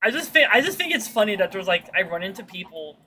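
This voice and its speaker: A woman streamer with a bright, forward voice tells stories in a loose, rambling chat. She talks in slang with some swearing and acts out quotes, over faint background noise. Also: fast. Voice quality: fairly deep-sounding for a woman. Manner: slangy, sweary, animated retelling with acted-out quotes. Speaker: streamer chatting with viewers while gaming. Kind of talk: casual chat, rambling storytelling.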